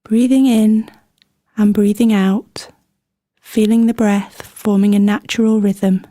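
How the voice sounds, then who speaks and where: A woman leading a guided meditation in a gentle, soothing manner, with scripted instructions and unhurried pauses between phrases. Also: medium pitch, steady tone, dry recording, studio quality.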